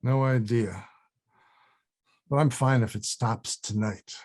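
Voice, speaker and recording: A man's voice: mid-range voice, slow, a little mellow. A man playing a laid-back, folksy pal with a menacing side in a table read. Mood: resigned.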